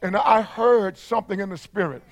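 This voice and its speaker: High-voiced preacher man, emphatic. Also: moderate pace. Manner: emphatic, repeats key phrases, sometimes shouts. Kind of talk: sermon.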